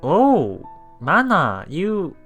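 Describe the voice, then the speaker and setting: A let's play host casually commenting on a visual novel and reading its lines aloud in a halting, chuckling way. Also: medium pitch, wildly swooping pitch, fairly bright tone. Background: visual novel background music.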